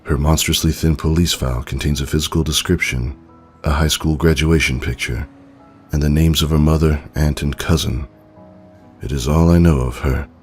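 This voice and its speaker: An actor playing a grim, brooding detective, giving somber, measured noir narration with music underneath. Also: male voice, extremely low pitch.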